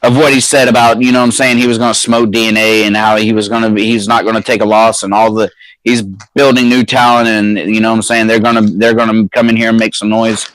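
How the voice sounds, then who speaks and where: A man's voice: on the low side, level tone, fast, a bit bright, no room sound, rough recording. A man co-hosting a casual podcast, talking in slangy, stop-start bursts and repeating himself while he argues a point. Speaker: podcast co-host.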